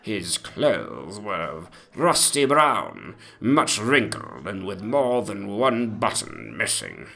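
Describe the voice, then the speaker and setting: Man playing a slow, pompous old English gentleman memoirist. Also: fairly low pitch, wildly swooping pitch, gravelly, full character voice. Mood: fastidious, haughty. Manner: ornate, pompous, deliberate storytelling. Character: very old, antique English gentleman memoirist, genteel and self-important. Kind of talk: dramatic reading of a mock-antiquarian memoir.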